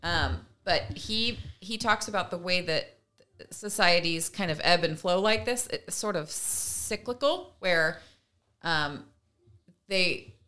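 Woman, slightly low pitch, forceful bright tone, casual co-host commentary, animated explaining. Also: slow. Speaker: podcast co-host.

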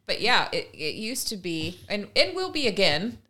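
A woman's voice: medium pitch, big pitch swings, moderate pace, pushed and bright. A woman co-hosting a casual discussion, earnest and emphatic, building her argument in long runs. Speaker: podcast co-host.

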